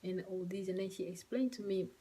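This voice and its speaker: A young woman who speaks English as a second language, telling a personal story to camera in a halting, soft, emotional way with many fillers. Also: mid-range voice, slightly rough, low-quality recording. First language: not English. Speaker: vlogger. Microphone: phone or camera mic.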